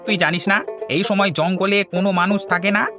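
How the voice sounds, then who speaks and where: A man voicing a high-pitched, concerned villager. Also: fairly even intonation, fairly quick, lightly acted. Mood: concerned, warning. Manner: cautioning, inquisitive. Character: concerned villager warning a boy of forest dangers. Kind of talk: cartoon story voice acting. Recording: music behind the voice.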